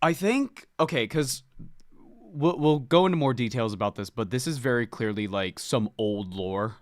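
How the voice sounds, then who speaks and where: A man hosting a book club podcast in casual chat, a little sniffly, talking in an animated, expressive way. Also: medium pitch, moderate pace, dry recording, clean studio sound. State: slightly sniffly.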